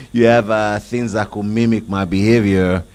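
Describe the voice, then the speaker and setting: Middle-aged nigerian guy, low voice, steady panel talk, handheld mic. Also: unhurried. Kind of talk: panel discussion answer. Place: conference hall on stage.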